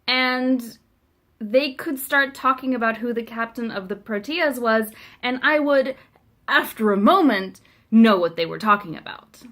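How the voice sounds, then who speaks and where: A woman's voice: a little high, measured pace, fairly bright tone. Wry, chatty young woman. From South Africa. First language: Afrikaans. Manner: chatty, wry and self-mocking, with frequent ums. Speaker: vlogger. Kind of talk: casual video letter to a friend.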